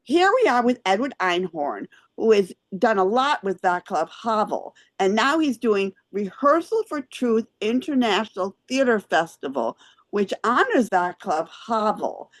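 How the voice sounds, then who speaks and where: A woman's voice: mid-range voice, animated, unhurried, dry recording. A woman hosting a theater show, presenting and interviewing in a chatty style. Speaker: host and interviewer.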